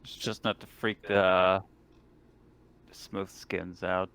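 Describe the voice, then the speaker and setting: A man's voice: low-pitched, little pitch movement, slow pace, fairly bright tone, clear, resonant tone. A man trading casual banter as a player in a tabletop roleplaying game.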